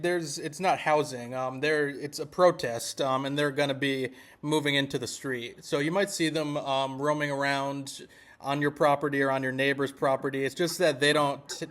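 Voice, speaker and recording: A man playing an earnest, mild-mannered association representative on a phone call, speaking politely and hesitantly with a deadpan delivery. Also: medium pitch, lightly acted. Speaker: prank caller posing as an activist group representative. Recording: noisy phone-call recording.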